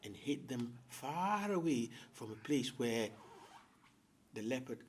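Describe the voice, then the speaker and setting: A man telling a folktale as host, with theatrical, deliberate phrasing and dramatic pauses. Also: mid-range voice, expressive, a little mellow, a little hiss or hum. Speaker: storyteller, host. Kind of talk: oral folktale storytelling to an audience.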